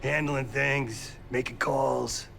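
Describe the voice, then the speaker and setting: An actor playing a blunt, gruff middle-aged American veteran operative with a gravelly voice in a video game. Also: male voice, medium pitch, fairly even intonation, takes it slowly. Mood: earnest, encouraging. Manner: blunt, profane, forceful. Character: gruff, bitter older veteran operative, loyal mentor. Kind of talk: cinematic video game acting. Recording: music behind the voice.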